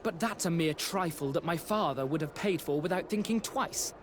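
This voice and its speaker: An actor playing a haughty, foppish young aristocrat with affected, flowery politeness in a video game. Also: male voice, fairly high pitch, normal speed, natural voice. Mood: haughty, breezy. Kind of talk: video game cutscene acting. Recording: clear outdoor ambience noise.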